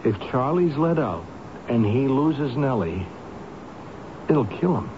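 An actor playing an earnest, anxious, kindly staffer in a radio drama, hesitant and deferential. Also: male voice, medium pitch, very animated, slow pace. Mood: earnest, pleading. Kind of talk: old-time radio drama acting. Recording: dull, noisy radio drama recording.

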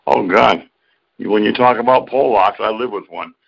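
Gravelly, crude man on a podcast. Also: on the low side, normal speed. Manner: crude, heavy swearing. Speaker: podcast co-host. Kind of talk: casual chat. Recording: dull lo-fi audio.

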